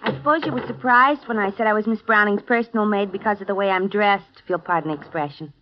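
A woman's voice: medium pitch, fast, slight background noise, natural voice. An actress playing a chatty, nosy young female maid with a wry, colloquial way of talking, in a vintage radio drama. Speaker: personal maid. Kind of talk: 1950s radio drama acting. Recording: dull lo-fi vintage radio broadcast.

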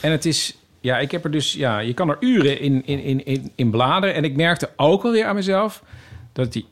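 A man's voice: on the high side, expressive, normal speed. A man of about fifty chatting informally on a podcast, often restarting his thoughts. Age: 50s. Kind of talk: casual podcast chat.

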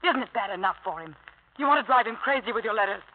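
A woman's voice: fairly high pitch, lively intonation, quick pace. An actress playing an outspoken, scornful young woman in a radio drama, biting and pointed in her delivery. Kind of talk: 1950s radio drama acting. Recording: muffled vintage radio recording.

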